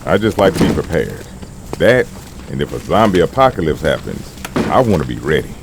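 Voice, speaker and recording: A jovial old-soldier type played by a man in an audio drama, low in pitch and folksy in his turns of phrase. His delivery swings up and down freely. Clear ambient noise sits behind him. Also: very animated, fairly quick, exaggerated voice. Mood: joking. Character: folksy, jovial male army veteran stockpiling supplies. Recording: noisy track with outdoor ambience effects.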